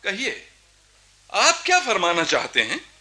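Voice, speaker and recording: Young Indian Nawab, radio play, very sharp tone, sweeping intonation, imperious, embittered, courtly diction. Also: male voice, sits high, huge pitch swings, unhurried, full character voice. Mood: courtly, commanding. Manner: imperious, rhetorical, courtly formal speech. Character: proud, embittered young Nawab of Bengal, commanding and sardonic. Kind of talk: theatrical Hindi radio historical drama acting.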